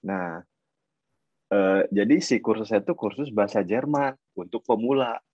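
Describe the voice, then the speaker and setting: A man's voice: fairly low pitch, moderate pace, husky, no room sound. A young man from Sumedang with a Sundanese accent, a podcast guest telling his story relaxedly and hesitantly. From Sumedang, Indonesia. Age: young adult. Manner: relaxed, hesitant, many fillers. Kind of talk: casual chat. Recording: dull lo-fi call audio.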